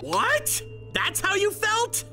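A man's voice: extremely high pitch, sing-song, takes it slowly, gravelly, pushed and bright, soft background music, exaggerated voice. A man playing an earnest, easily flustered young groom who narrates and speaks in a manga dub. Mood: surprised.

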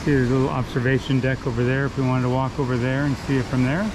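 A man narrating a casual outdoor hike as a photographer, relaxed and enthusiastic, often restarting his sentences. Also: medium pitch. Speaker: photographer vlogger. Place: outdoors by a river and waterfalls. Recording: outdoor noise of rushing water. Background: rushing water.

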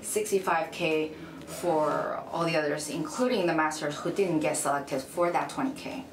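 A woman's voice: low-pitched, normal speed, noisy background. A young woman from China, speaking English as a second language, answering interview questions in a frank, chatty way at a table. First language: Chinese. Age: young adult. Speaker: interviewee, UX designer. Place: bright room, seated at a table. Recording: echoey room with music under.

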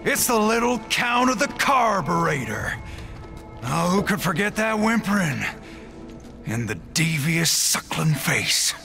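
An actor playing a menacing, mocking wasteland ship boss in a video game, taunting and sneering. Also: male voice, sits high, big pitch swings, gravelly, over music, heavily acted. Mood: mocking, threatening. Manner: taunting, sneering, drawn-out threats. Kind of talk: theatrical video game villain acting.

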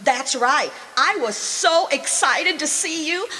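A kindly, excitable gold-rush saloon girl , played by a woman in a radio play. Her voice is high and swings widely in melody. She takes her time, with a folksy, gushing manner. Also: slow pace, full character voice. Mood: excited. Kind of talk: old-time radio drama acting.

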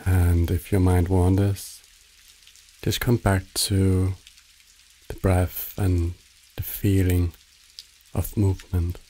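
A man narrating a guided meditation in a soothing, measured way, giving short instructions with long pauses. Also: low voice, level tone, warm tone, poor audio.

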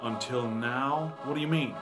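An actor playing a maladjusted, slow-witted male detective in a comic audio drama, hesitant and easily impressed. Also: mid-range voice, music in the background, close to an everyday voice. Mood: puzzled. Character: Bill Lizard, dim maladjusted male private detective.

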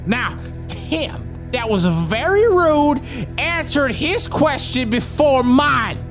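A man's voice: extremely high pitch, sing-song, slow pace, heavily acted. An actor playing a hot-tempered, profane outlaw who threatens his hostages. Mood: menacing. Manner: threatening, profane, bossy drawl. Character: menacing Southern outlaw man, hot-tempered kidnapper. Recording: background music under the dialogue.

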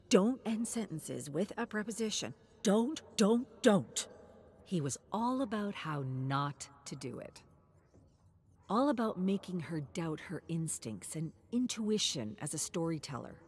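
A woman reading a scripted story as a dramatic narrator, deliberate and expressive, with phrases trailing into a hush. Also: fairly low pitch. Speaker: narrator reading game lore.

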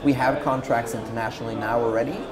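Fast, upbeat Swedish-accented man. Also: mid-range voice, fairly even intonation, over music. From Sweden. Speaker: startup founder. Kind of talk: business interview. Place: office lounge, indoors. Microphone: lapel.

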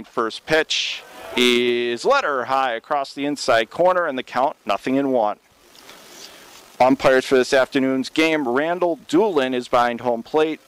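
A young man calling radio play-by-play for a college baseball game, steady and measured, jumping up in pitch on big plays. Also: clear, resonant tone, poor audio.

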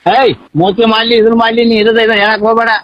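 Casting: very high-pitched North Karnataka man, argumentative, stammering repeats, dry and dull lo-fi call sound. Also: flat delivery, racing. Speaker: prank call participant. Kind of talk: heated phone argument in village dialect. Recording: dull lo-fi phone call recording.

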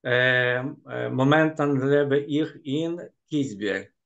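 A Ukrainian man in his forties introduces himself slowly and carefully as a beginner. The call audio is dull and muffled. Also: mid-range voice, gravelly, fairly bright tone. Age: 40s. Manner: slow, careful beginner speech. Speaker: priest, student.

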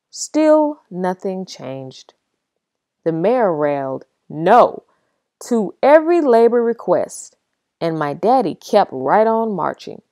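A woman reading a children's picture book aloud as expressive storybook narration, with dramatic pauses. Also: mid-range voice, no room sound, clean studio sound.

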